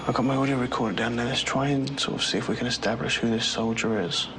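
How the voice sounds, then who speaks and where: A man investigating stone tunnels, speaking in an animated way and often dropping to a whisper. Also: medium pitch, fast, background noise. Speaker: paranormal investigator.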